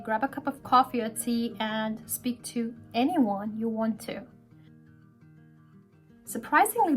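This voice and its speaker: A woman from Pakistan, an English teacher, narrating a vlog in a friendly storytelling way. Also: on the high side. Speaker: English teacher and speaking club organizer. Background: soft background music.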